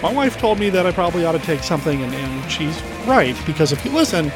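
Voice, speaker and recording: A man hosts a podcast with a high, nasal voice, stuffed up from a head cold. He talks with eager enthusiasm, and music plays clearly behind him. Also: big pitch swings, fast. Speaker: podcast host.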